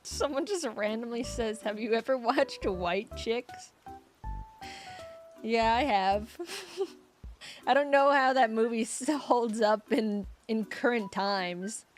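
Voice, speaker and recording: A woman's voice: a little high, slow, soft background music. A young woman streamer in casual chat with her viewers, rambling and giggly with lots of fillers, her voice getting scratchy.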